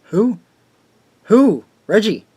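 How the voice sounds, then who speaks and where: A man's voice: sits high, big pitch swings, extremely slow, warm tone, breathy edge, no room sound, full character voice. A man playing an earnest, uneasy teenage boy, a conscientious friend, in a radio drama. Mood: shocked.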